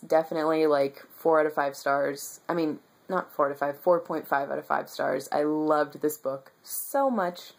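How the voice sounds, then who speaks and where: A young woman with a stuffy nose and a tired voice chats about books on her webcam, rambling with lots of ums. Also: sits low. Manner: rambling and enthusiastic, many ums. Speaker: book vlogger. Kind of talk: casual monthly reading wrap-up. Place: bedroom with bookshelves, indoors.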